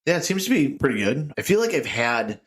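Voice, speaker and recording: A man's voice: fairly high pitch, sing-song, a little fast, slightly rough. A man co-hosting a casual beer podcast, rambling with jokey tangents and frequent laughs, recorded in a small echoey room with a tinny sound. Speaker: podcast co-host. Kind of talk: casual chat.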